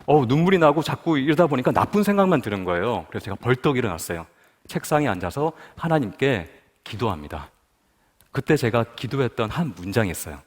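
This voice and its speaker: Animated man telling his story in a hall. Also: mid-range voice, fairly quick. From Busan, South Korea. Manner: storytelling, asks the audience questions. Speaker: guest speaker at a prayer meeting. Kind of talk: personal testimony talk.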